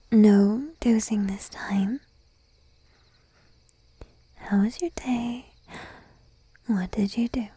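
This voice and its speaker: Slow, breathy shy sheep-girl girlfriend, acted by a woman. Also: fairly high pitch, no room sound, heavily acted. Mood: coaxing, affectionate. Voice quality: soft, breathy whisper. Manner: timid, coaxing, lingering pauses. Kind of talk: whispered ASMR roleplay. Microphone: close microphone, dry.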